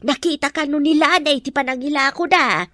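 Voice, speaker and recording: A woman's voice: high voice, brisk, sharp tone, no echo, clean studio sound. A woman playing a blunt, sceptical mother who scolds and gossips in a radio drama. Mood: scolding, gossiping. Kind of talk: Ilocano radio drama acting.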